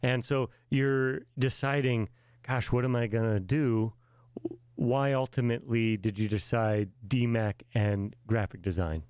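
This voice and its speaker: A man's voice: medium pitch, unhurried, a little mellow, smooth, dry recording. A man interviewing students at a portfolio event, asking friendly questions and offering encouragement, sometimes restarting his sentences.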